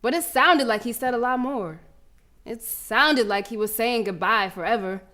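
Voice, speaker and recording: A woman's voice: fairly high pitch, moderate pace, bright, forceful tone. A woman playing a fierce, proud young girl runner, telling her story as a brash, streetwise dramatic monologue. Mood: tender, hurt. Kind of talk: dramatic first-person monologue.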